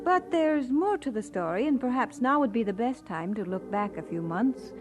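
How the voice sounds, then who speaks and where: An actress playing a devoted wife who speaks and narrates gently and reflectively in a scripted radio drama. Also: female voice, on the high side, animated, moderate pace, music in the background, lightly acted. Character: devoted young wife, gentle, recalling her marriage.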